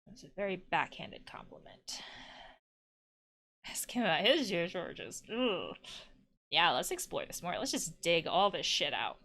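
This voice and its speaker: A woman's voice: lowish voice, sing-song, normal speed, bright, forceful tone. A woman playing a video game as a counselor, reading lines aloud and giving analytical, bluntly exasperated commentary in a casual way. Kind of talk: let's-play commentary, reading dialogue aloud and reacting.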